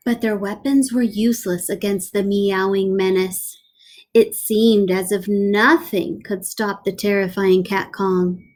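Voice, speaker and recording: A woman teacher reading a picture book aloud to children, telling the story in an animated way with playful asides. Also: medium pitch. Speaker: elementary school teacher.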